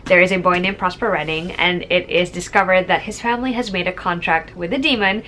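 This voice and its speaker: A woman's voice: on the low side, fairly quick, sharp tone, slight background noise, poor audio. A woman casually presenting book picks in a conversational, explanatory way, as the host of a vlog. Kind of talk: casual book recommendation chat.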